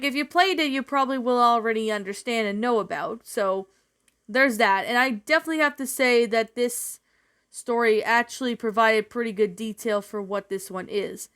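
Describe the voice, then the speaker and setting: A woman's voice: fairly high pitch, a bit bright. A woman giving a casual, unscripted review in a rambling, repetitive way, as a story narrator talking to followers.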